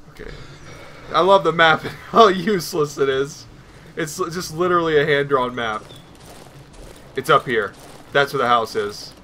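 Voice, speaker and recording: A man's voice: on the high side, pushed and bright, slight background noise. A man giving casual let's play commentary, rambling nervously and talking to himself as he plays. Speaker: gaming video host.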